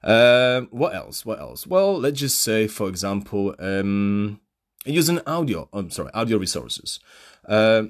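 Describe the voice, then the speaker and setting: A man with a Polish accent hosts a solo podcast, speaking into his phone. His voice sits fairly low, but his pitch swings widely as he fires rhetorical questions at the listener between casual fillers. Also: sing-song, unhurried. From Poland. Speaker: podcast host and English teacher.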